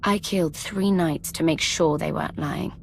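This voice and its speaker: Cruel villainess, video game. Gravelly low voice, brisk menace, faint noise behind. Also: female voice, fairly even intonation. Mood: flippant menace. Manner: brisk, flippant. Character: gleefully cruel, capricious villainess killer.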